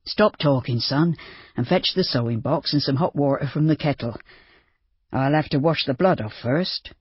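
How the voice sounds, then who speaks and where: A reader voicing an older farmer, practical and steady, who tends animals and wounds. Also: medium pitch, normal speed, slightly rough, dry recording, muffled recording.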